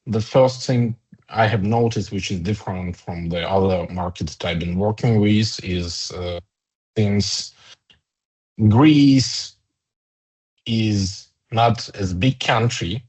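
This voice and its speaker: A man with a Ukrainian accent, an HR director in his thirties, answering interview questions in a halting way with many fillers and restarts. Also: lowish voice, darkish tone, poor audio. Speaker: HR director guest.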